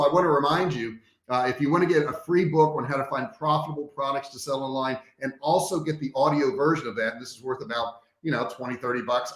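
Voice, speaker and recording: A man giving a motivational coaching talk to a live online audience, direct and conversational, repeating key points for emphasis. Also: fairly high pitch. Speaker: business coach, host of a livestream training. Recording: echoey, roomy sound.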